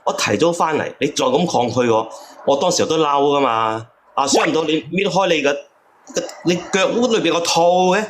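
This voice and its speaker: A man's voice: fairly high pitch, lively intonation, normal speed, slight background noise. A man calling in to complain in an aggrieved, accusing way. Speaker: caller. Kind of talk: casual complaint.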